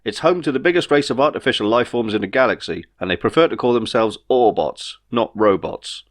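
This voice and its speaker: A man's voice: mid-range voice, animated, a little fast, lightly acted. A man voicing an easygoing, know-it-all spaceship pilot in an audiobook, chatty and reassuring. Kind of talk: audiobook character dialogue.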